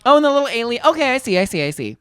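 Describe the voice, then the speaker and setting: A man co-hosting a podcast in casual comedic chat, excitable and theatrical, jumping in with quick reactions. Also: very high-pitched, clean tone, dry recording. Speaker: podcast co-host.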